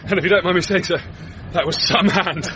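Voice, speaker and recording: Actor as cocky high-voiced British athlete. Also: male voice, fairly even intonation, fairly quick, noisy background, natural voice. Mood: dry, cocky. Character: cocky, dry-witted lanky race walker. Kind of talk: radio drama acting. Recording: dull, busy station crowd behind.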